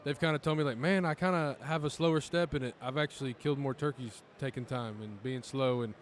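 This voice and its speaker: A man's voice: medium pitch, fairly quick, a little hiss or hum. A man hosting a podcast, chatting casually and agreeably with his guest on a convention floor. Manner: agreeable, short backchannel replies. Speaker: podcast host. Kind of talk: casual interview chat.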